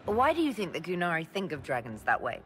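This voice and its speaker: A woman's voice: low voice, huge pitch swings, fast talker, exaggerated voice. A woman playing a diplomatic, curious army commander in a video game. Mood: curious, reflective. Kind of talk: video game cut-scene dialogue.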